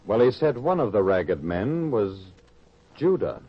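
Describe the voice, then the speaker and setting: Low, husky, resentful farm son played by a man. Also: big pitch swings, a little slow, heavily acted. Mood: troubled. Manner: indignant, sardonic. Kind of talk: old-time radio acting. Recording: dull lo-fi radio drama sound.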